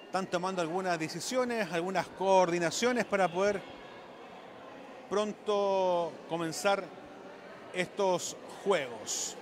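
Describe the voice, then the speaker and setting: A Chilean man with a high voice works as a live event reporter. He improvises his commentary, halting and repeating himself. Clear urban noise runs behind him. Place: live at a sports venue.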